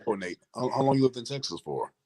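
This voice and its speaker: A man's voice: mid-range voice, big pitch swings, fast talker, a little mellow. A man in his thirties from Boston, chatting casually as a guest in informal, slangy speech. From Boston, United States. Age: 30s. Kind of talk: casual chat.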